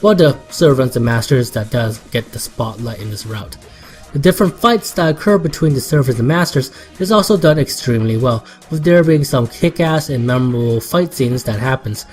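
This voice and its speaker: A man's voice: mid-range voice, lively intonation, moderate pace. A man reading a scripted video game review as a voice-over. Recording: voice-over with music behind.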